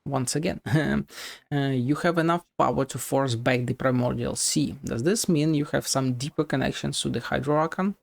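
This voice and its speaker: A man's voice: mid-range voice, normal speed, vocal fry. A man giving casual game commentary as a streamer, musing aloud with sardonic, sweary remarks. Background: faint game music.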